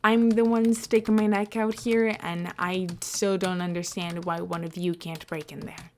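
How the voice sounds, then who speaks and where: A woman's voice: fairly low pitch, flat delivery, normal speed, slight background noise, lightly acted. A woman reading the part of a nervous, reluctant ordinary man in a superhero audio story. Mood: indignant.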